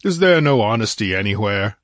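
A man doing a gruff, rumbling barbarian warrior. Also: very animated, brisk, a bit bright, no room sound, clean studio sound. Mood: scornful. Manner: rumbling, scornful, demanding. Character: massive gruff barbarian warrior, angry and contemptuous.

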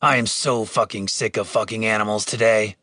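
A man's voice: lowish voice, fairly even intonation, pushed and bright, no room sound, studio quality. A man voicing a grumpy adventurer who mutters and hisses in irritation. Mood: irritated. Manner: muttering, hissing, slow. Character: grumpy, foul-mouthed male adventurer.

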